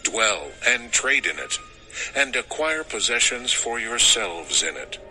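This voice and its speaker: An actor playing a dignified middle-aged ancient ruler in an audio Bible drama, speaking in a measured, persuasive manner. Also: male voice, mid-range voice, sing-song, pushed and bright, slight background noise, heavily acted, rough recording. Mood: courteous pleading.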